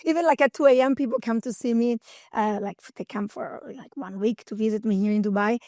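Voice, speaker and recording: A woman around fifty with an Italian accent, talking in an interview. She is energetic and chatty and laughs often as she tells her story. The sound is dry and close, with no room echo. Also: fairly high pitch, a little fast. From Italy. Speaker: guest. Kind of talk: interview conversation.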